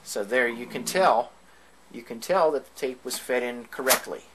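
A man's voice: mid-range voice, normal speed, a crowd in the background, rough recording. A man giving an informal hands-on equipment tutorial, explaining each step as he goes. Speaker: demonstrator of vintage tape equipment. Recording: background noise on home video.

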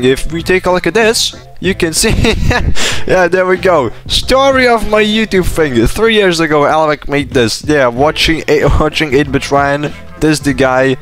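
Higher-pitched young man, Lithuanian-British accent. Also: fairly bright tone. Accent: Lithuanian with British influence. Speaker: gaming YouTuber. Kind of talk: casual Q&A commentary over gameplay. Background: background music.

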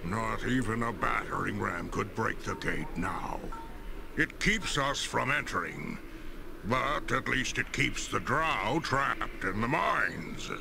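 An actor playing a weary, battle-worn dwarf clansman in a video game, solemn and deliberate. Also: on the low side, expressive, creaky, noisy background, heavily acted. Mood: grim, worried.

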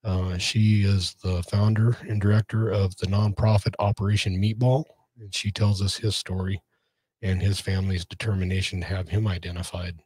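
Low, husky, monotone middle-aged man. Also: moderate pace, no room sound. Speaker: podcast host and researcher. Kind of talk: informal introduction of a case.

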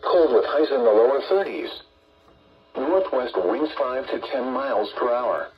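A synthetic-sounding man reading a scripted weather forecast in a measured way, heard through a small radio speaker. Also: mid-range voice, lively intonation, breathy edge, lo-fi sound. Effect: played through a small radio speaker.

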